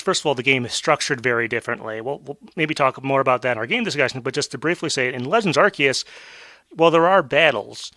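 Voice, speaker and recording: Podcast host guy, bright voice, lots of ums and restarts, dry close mic. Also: mid-range voice, dry recording. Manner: rambling with many fillers and restarts. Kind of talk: casual discussion.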